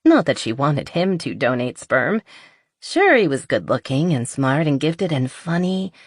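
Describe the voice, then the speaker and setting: Low-voiced woman narrating a romance audiobook. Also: sing-song, measured pace, slightly rough, dry recording, studio quality. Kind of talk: scripted romance novel narration.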